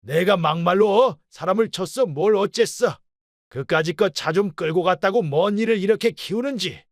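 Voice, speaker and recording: A man's voice: high-pitched, moderate pace, no room sound, clean studio sound. A man playing a brazen, blustering old father-in-law in a radio drama, scolding coarsely and blaming everyone else. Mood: indignant ranting. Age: older. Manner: scolding, coarse, self-pitying. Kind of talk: Korean radio drama acting.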